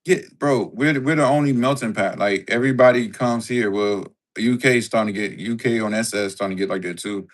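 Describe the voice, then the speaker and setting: A man's voice: mid-range voice, brisk, few pauses. A man speaking as a podcast guest in casual political talk, blunt and slangy with frequent profanity.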